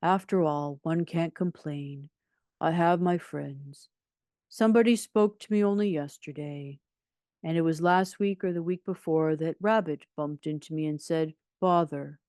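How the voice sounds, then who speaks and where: A woman's voice: sits low, a little slow, mellow, no room sound, studio quality. A woman voicing a gloomy, pompous male donkey from a children's storybook, speaking in a ponderous, mournful, self-important way. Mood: resigned. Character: gloomy, pompous, long-suffering old toy donkey, male.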